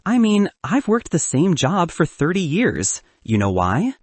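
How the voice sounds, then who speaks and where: Man voicing a gentle middle-aged father. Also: sits high, huge pitch swings, measured pace, no echo, voice pushed up, exaggerated voice. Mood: gentle, earnest. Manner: gentle, plain-spoken.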